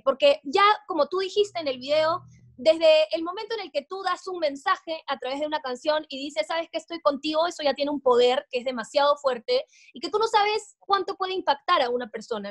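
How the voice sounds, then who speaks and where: A young woman hosting a casual interview over a video call from home, effusive and emphatic. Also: high-pitched. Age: young adult. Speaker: radio show host, interviewer. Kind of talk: casual, enthusiastic interview chat. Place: room at home, indoors.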